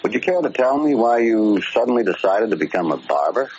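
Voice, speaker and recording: Deep, animated, concerned prison warden. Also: male voice, brisk. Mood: probing, firm. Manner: earnest, insistent, questioning. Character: concerned, paternal, exasperated male prison warden. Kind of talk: 1940s radio drama acting. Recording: muffled, hissy vintage radio broadcast recording.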